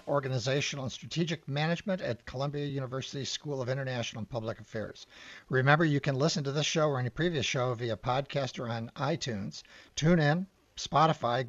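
A man's voice: medium pitch, no echo, dull audio. A man hosting a radio talk show, interviewing a guest and announcing breaks in an announcer-like way. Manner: announcer-like, energetic intros.

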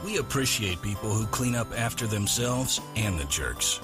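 A man's voice: on the low side, animated, moderate pace. A man narrating a scripted public-service ad in a scornful, sarcastic tone. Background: music.

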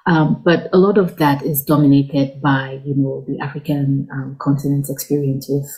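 A woman's voice: a bass voice, little pitch movement, normal speed, dark tone, low-quality recording. A woman from Cameroon, speaking thoughtfully as an interview guest over an online call. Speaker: guest, writer and researcher. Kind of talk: conversational interview. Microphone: video call.

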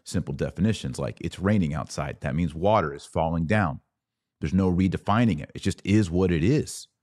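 An American man hosting a political commentary podcast, speaking in a deliberate, emphatic monologue that leans on repeated words for stress. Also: sits low, darkish tone. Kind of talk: opinion monologue to camera.

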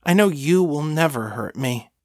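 A man's voice: fairly high pitch, lively intonation, normal speed, no room sound, clean studio sound, voice pushed up, close to an everyday voice. A man voicing a devoted, self-effacing female thrall in an audiobook, earnest and reverent in manner. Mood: adoring, reverent.

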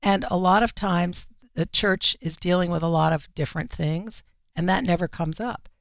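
A woman talks as a radio interview guest in a plainspoken, emphatic way. Her voice has a gravelly creak and the recording is dry, with no room sound. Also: on the low side, moderate pace, no echo. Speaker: magazine editor-in-chief, interview guest.

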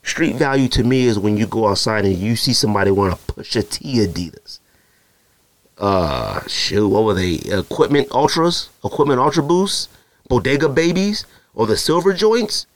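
A man hosting a podcast, talking loose and slangy from a close mic. His voice sits at an ordinary middle pitch. The sound is dry, with no room behind it. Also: no echo. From Arizona. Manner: slangy, rambling, mimics other people with animated asides. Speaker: podcast host. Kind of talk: casual solo podcast talk.

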